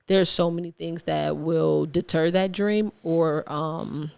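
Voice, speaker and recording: A young woman from Ohio, a guest artist talking through her journey in a relaxed, reflective interview, laughing easily. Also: deep voice, takes it slowly, vocal fry, smooth. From Ohio, USA. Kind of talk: casual interview chat.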